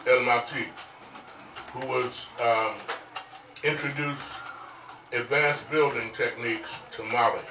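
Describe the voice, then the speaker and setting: A man narrating history on stage in a deliberate, emphatic way, like a live recitation. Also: medium pitch, noisy background. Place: echoey venue, live stage performance. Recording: echoey, distant mic, faint music.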